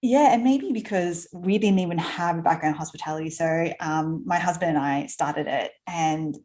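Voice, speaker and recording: An Australian woman in her thirties talks casually as a podcast guest, her voice sitting a little low. She laughs easily and fills her sentences with ums and restarts. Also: normal speed, darkish tone. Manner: chatty, laughs often, many fillers and restarts. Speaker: guest entrepreneur. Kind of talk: casual conversational interview.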